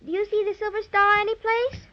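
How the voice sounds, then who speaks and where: Very high little girl, vintage radio. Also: little pitch movement, a little fast. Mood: curious, delighted. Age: child character. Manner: wide-eyed, gushing, childlike phrasing. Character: curious, slightly cautious little girl, brother's playmate. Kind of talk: 1930s children's radio serial acting. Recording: muffled 1930s radio transcription.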